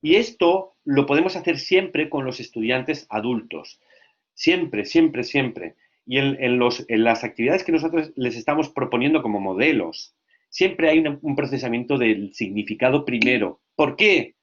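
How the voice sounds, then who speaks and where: A man giving a lecture to language teachers, lively and often checking that listeners follow. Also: mid-range voice, moderate pace, highs cut off. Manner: lively, asks frequent check questions.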